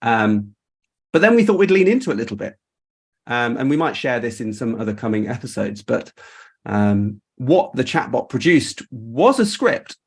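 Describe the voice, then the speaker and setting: Man, mid-pitched voice, informal host, rambling delivery, appreciative, casual video call chat. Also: sing-song, normal speed, vocal fry, breathy edge. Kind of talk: casual chat, reflecting on a creative project.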